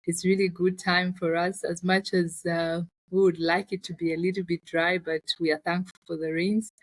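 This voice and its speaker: A woman's voice: lowish voice, level tone, moderate pace, no room sound. A woman with a Zambian accent telling her personal story as an interview guest, in a reflective, conversational way. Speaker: interview guest, finance business founder.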